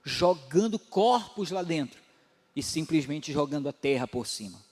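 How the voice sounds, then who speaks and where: A man in his forties preaching a sermon as pastor, building to emphatic rises and dropping low again. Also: animated, moderate pace, darkish tone. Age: 40s. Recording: long hall reverb.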